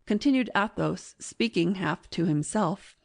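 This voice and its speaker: A woman reading classic fiction as an audiobook narrator in a measured, literary manner. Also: lowish voice, expressive, vocal fry.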